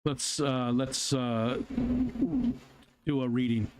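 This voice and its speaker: A man's voice: medium pitch, fairly even intonation, slow. A man hosting his own live stream, chatting casually with viewers in a rambling way, with lots of fillers and restarts. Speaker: livestream host. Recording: noticeable background noise.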